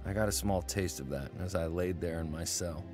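A man voicing a troubled angry young airman, low voice, grave first-person narration, radio drama, music underneath. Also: a little fast, natural voice. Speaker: narrator and main character.

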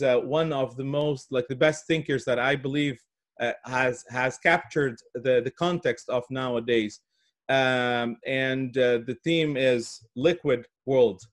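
A middle-aged man from Brazil giving a presentation on a video call, speaking haltingly with repeated words and pauses. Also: medium pitch. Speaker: guest speaker giving opening remarks. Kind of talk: presentation at an online summit. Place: indoor office, on a video call.

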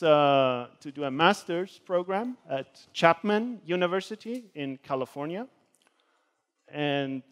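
A young man from Switzerland gives a guest lecture in a university hall. His voice sits slightly high, and he fills his pauses with hesitant "uh"s as he walks about the stage. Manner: hesitant, many filler sounds; gestures while speaking, walks around the stage. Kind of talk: academic talk with slides.

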